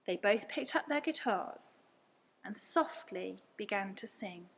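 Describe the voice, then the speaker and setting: A woman's voice: mid-range voice, expressive, takes it slowly, gravelly, a little hiss or hum, poor audio. A woman reading a scripted story aloud as a storyteller. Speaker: story reader.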